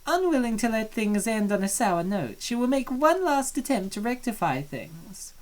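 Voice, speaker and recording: A woman's voice: on the high side, sing-song, normal speed, a little mellow, voice pushed up. A woman voicing a sinister, predatory female therapist from a comic dub, speaking in an ominous, formal and clinical way. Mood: coldly analytical. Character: sinister predatory female therapist, coldly analytical, hungry for prey. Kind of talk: dramatic comic-dub villain acting.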